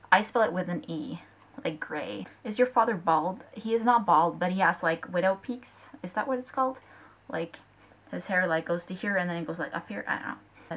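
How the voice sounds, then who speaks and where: A woman's voice: fairly low pitch, fairly bright tone. A young woman from Norway, a native Norwegian speaker with a Norwegian accent, chatting casually as she reads out and answers questions in a rambling vlog. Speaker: vlogger.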